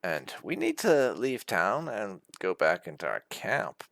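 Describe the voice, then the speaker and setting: A man's voice: on the low side, huge pitch swings, unhurried, creaky, fairly bright tone, a little hiss or hum. A man giving casual playthrough commentary, rambling a little as he thinks aloud. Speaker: gaming video host.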